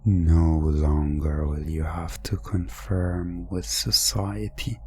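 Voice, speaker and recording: A man narrating a scripted hypnosis ASMR story in a hushed, deliberate, dramatic manner. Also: low voice, level tone, soft background music. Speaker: narrator of a hypnosis audio roleplay.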